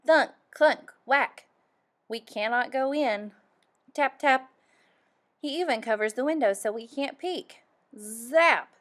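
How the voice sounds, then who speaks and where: A woman reading a picture book aloud for young children, animated and deliberate, acting out the sound effects. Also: sits high. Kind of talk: expressive read-aloud storytelling for young children.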